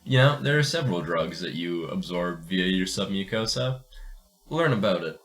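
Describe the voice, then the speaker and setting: A man with a very low voice hosts a podcast on writing. He talks informally and jokes around, and he doesn't mind swearing as he discusses what he has read. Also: lively intonation, moderate pace, a bit bright. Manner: informal, profane, jokey. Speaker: podcast host. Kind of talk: casual literary discussion and reading aloud.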